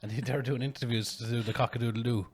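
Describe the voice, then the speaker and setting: A woman's voice: very deep voice, fairly even intonation, quick pace, darkish tone, breathy edge. A middle-aged Irish woman co-hosting a podcast, casual and crude, telling jokey stories. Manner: crude, joking storyteller. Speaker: podcast co-host. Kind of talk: casual chat.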